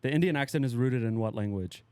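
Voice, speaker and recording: A man's voice: mid-range voice, fast, mellow, clean tone, no room sound. An American man co-hosting a casual podcast, blunt and provocative, often laughing. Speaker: podcast co-host. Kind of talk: casual chat.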